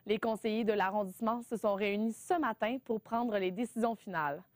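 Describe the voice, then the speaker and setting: A woman anchoring a local newscast, reading her script in a measured, smooth way. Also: medium pitch, darkish tone, no echo. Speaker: news anchor.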